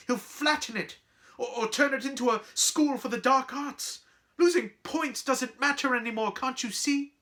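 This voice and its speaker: Earnest young boy hero for a storybook read by a narrator, urgent, forceful and lively, tripping over words. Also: mid-range voice, pitched above the performer's own voice.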